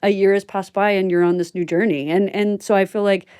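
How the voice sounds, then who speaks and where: A woman's voice: mid-range voice, fairly even intonation, fairly quick, a little mellow, clean tone, dry recording, studio quality. A woman hosting a podcast in a casual, chatty interview style with informal, conversational phrasing. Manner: informal and chatty, frequent "like" and "you know". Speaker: podcast host.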